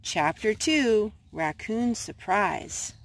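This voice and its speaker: A woman hosting storytime, reading aloud to young children in simple, measured phrases. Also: medium pitch, expressive, background noise. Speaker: storytime host and narrator.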